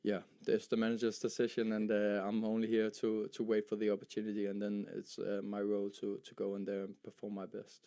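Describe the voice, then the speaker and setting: Young man, danish native speaker, footballer in an interview, flat low tone, halting with lots of fillers. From Denmark. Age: young adult. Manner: halting, many fillers and repeated words. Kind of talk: post-match style interview.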